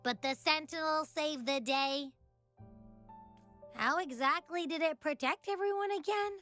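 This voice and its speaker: A woman voicing a playful, curious, food-loving girl companion in a video game, expressive and friendly. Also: sits high, measured pace, soft background music. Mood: amused curiosity.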